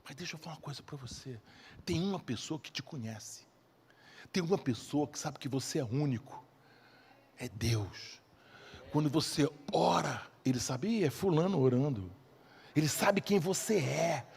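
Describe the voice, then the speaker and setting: A male preacher with a Rio Brazilian accent delivers a sermon in a husky, high-set voice. His delivery swings widely up and down as he hammers phrases and throws out rhetorical questions. The sound rings with the long reverb of a hall. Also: lively intonation, moderate pace, darkish tone, a little hiss or hum. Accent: Brazilian, from Rio de Janeiro. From Rio de Janeiro, Brazil. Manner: emphatic, repeats key phrases, asks rhetorical questions. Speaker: preacher, bishop. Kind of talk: evangelical sermon. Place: church service in a large hall.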